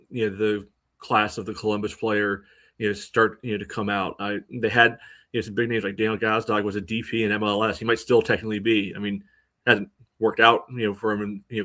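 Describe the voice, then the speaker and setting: A man co-hosting a sports podcast, analysing in a casual, halting way full of verbal tics. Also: fairly low pitch, a bit bright. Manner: halting, peppers speech with "you know".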